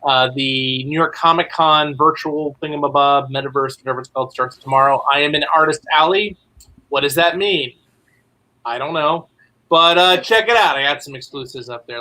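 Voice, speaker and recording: A man's voice: mid-range voice, fairly even intonation, fairly quick, bright, forceful tone, poor audio. A man talking as a guest in a casual chat, with a joking, wry manner. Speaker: podcast guest, comics writer.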